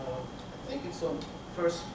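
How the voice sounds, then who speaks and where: A middle-aged man from Turkey talks through his slides at a tech conference, pausing often and restarting his sentences. His voice sits a bit high and comes from across the room. Heavy reverb and steady room noise surround him. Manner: halting, many fillers and restarts. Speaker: conference speaker. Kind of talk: technical conference talk. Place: conference room with projector screen. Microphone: room camera from the back of the hall. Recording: echoey hall, speaker far from mic, room noise.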